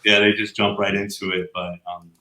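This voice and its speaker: Male interview guest, lowish voice, casual explainer who rephrases often, echoey room. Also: level tone, sharp tone, slight background noise. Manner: halting, circling back and rephrasing as he explains. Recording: roomy, echoey sound.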